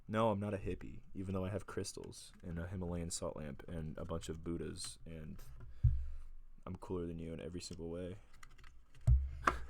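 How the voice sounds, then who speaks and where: A man's voice: deep voice, gravelly, darkish tone, slight background noise. A young man hosting a casual music show, chatting between songs in a rambling, self-mocking, laid-back way. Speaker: host.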